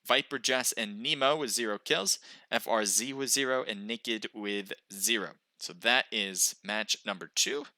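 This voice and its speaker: Male stream caster, punchy play-by-play, sharp bright voice, dead dry sound. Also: on the low side, a little slow, no room sound. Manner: excited calls during action, chatty when reading chat. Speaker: esports caster and stream host. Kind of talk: live play-by-play commentary.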